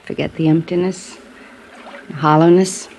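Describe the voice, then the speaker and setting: Creaky-voiced, tipsy, bitter actress. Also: female voice, low-pitched, level tone, moderate pace, a little mellow. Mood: bitter, melancholy. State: quietly drunk, as acted. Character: tipsy, embittered, lonely leading lady. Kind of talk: old-time radio drama acting. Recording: noisy old radio recording with ambience.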